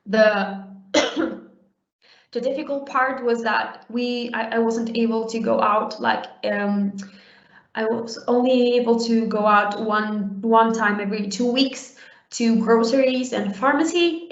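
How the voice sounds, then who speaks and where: A woman's voice: on the high side, fairly even intonation, slow. Woman from Uruguay, young adult, chatty talk with fillers, roomy dull lo-fi sound. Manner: conversational, many fillers and restarts. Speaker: conference speaker. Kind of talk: conference talk.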